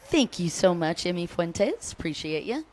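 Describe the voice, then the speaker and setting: A woman's voice: on the low side, sing-song, normal speed, a little mellow. An American woman giving live race commentary, chatty and upbeat, calling out riders and greeting fans between lap updates. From United States.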